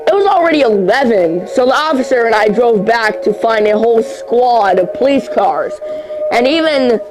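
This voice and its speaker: An amateur narrator reading a horror story aloud in a halting way, tripping over words and repeating phrases. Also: mid-range voice, lively intonation, low-quality recording. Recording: music under the voice. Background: music.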